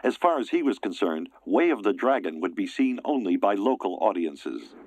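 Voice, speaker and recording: A man reading scripted documentary narration in a measured, even way. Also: fairly low pitch. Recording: narration over music.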